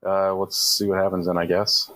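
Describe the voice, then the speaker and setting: A man's voice: sits low, little pitch movement, moderate pace, a bit bright, no echo. A man playing a tabletop roleplaying game, casually describing moves and explaining rules step by step.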